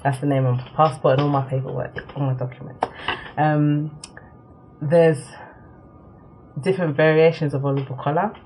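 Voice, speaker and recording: A young woman born in Nigeria, chatting casually to camera for her vlog, with many ums and quick laughs. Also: low-pitched, fairly even intonation, darkish tone, slight background noise, low-quality recording. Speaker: vlogger. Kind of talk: casual talk to camera, answering a tag.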